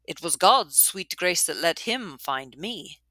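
A woman's voice: on the low side, expressive, slow, bright, forceful tone, no room sound, exaggerated voice. Woman reading as a big, hearty bearded man. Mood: earnest. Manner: hearty, playful.

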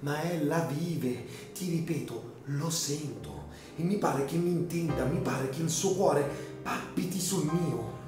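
An actor plays an earnest gentleman in a radio melodrama, a man pleading with solemn, emphatic hope. His voice is fairly high for a man and rings in a very echoey room, with faint music behind. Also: a little slow, lightly acted. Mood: fervent hope. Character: earnest, insistent, desperately hopeful gentleman, the dead countess's brother. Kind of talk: melodramatic radio drama acting.

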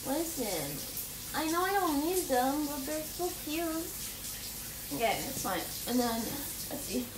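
A woman's voice: high-pitched, big pitch swings. A young woman playing a playful, affectionate, teasing girlfriend in an intimate ASMR roleplay, coaxing in a girlish murmur. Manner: giggly, coaxing, unhurried murmuring with pauses. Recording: echoey, distant, noisy with running bathwater.

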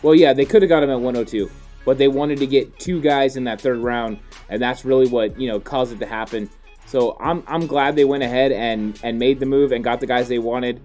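A man in his thirties, a podcast co-host, explaining football draft moves in a steady, casual way with frequent fillers. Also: medium pitch, a little fast, soft background music. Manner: steady, explanatory, many "you know" fillers. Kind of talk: casual sports analysis chat. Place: home studio, indoors. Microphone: studio mic on boom arm.

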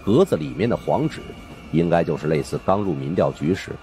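音调极低的男声悬疑小说播讲. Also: male voice, low voice, lively intonation, fairly quick, warm tone, soft background music. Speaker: audiobook narrator. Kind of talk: dramatic scripted narration of a supernatural suspense novel.

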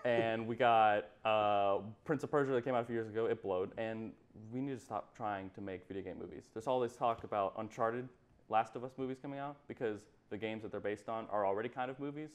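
A man's voice: fairly low pitch, a little fast, smooth. A man in his twenties giving an opinionated, casual rant as a talk show panellist, blunt, emphatic and repeating himself for effect. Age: 20s. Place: small indoor TV studio.

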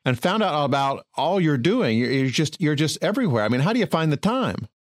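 A fairly high-pitched male host whose voice rises and falls a lot, recorded close and dry. He chats eagerly and introduces his guest with open enthusiasm. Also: very animated, a little fast, no echo. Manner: enthusiastic, chatty questioner. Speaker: radio show host. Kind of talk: casual interview.

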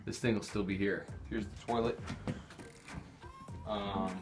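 Low-voiced sardonic man giving a house tour. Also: little pitch movement, measured pace, music in the background. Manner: sardonic, mock-showy slang, swearing. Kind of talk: casual, joking house-tour chat. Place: small rooms of a rundown house.

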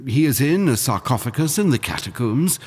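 A man's voice: mid-range voice, sing-song, fairly quick, breathy edge. A man playing a frightened, secretive middle-aged monk in a dramatic video game cutscene. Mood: uneasy, fearful.

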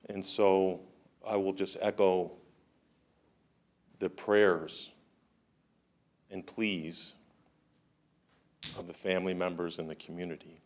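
Very slow, low-voiced man speaking formally. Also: a little mellow. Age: middle-aged. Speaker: public speaker at a village board meeting. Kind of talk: formal public comment. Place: indoor meeting room with seated audience.

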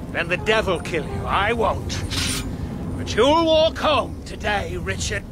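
A man's voice: high voice, sing-song, slow pace, loud music behind the voice, full character voice. An actor playing a stern, loyal knight in the king's service, blunt and grave, in a historical radio drama. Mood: threatening.

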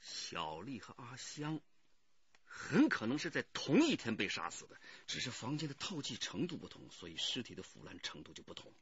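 An actor playing an earnest, thoughtful police detective in a radio drama, expressive and deliberate. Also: male voice, mid-range voice, husky, lo-fi sound, heavily acted. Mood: realizing. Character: earnest, wry male police detective on the case.

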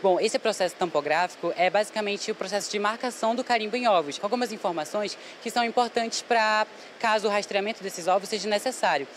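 A man's voice: high voice, quick pace, few pauses, a bit bright, a little hiss or hum. A teenage student explaining his machine in a technical, rehearsed way into a handheld mic. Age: teens. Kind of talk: presenting a project. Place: school workshop. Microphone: handheld reporter mic.

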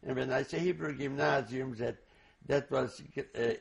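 An older man, a native Hungarian speaker, giving oral history testimony in a halting, reflective way with many restarts. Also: medium pitch, gravelly. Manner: slow, reflective recall. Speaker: interviewee.

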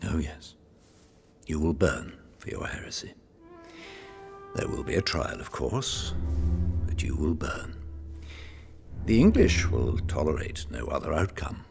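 An actor playing a contemptuous, menacing medieval nobleman in an audio drama, sneering and deliberate. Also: rumbling low, sing-song, gravelly, music in the background, heavily acted. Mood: cold menace.

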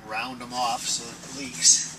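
A man's voice: medium pitch, little pitch movement, slow. Man talking through a job, noisy audio. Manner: plain-spoken, step-by-step, thinking aloud. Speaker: DIY instructor. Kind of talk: casual hands-on tutorial talk while working. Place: inside a plastic-covered wood-framed dome greenhouse. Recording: noisy ambient camera audio.

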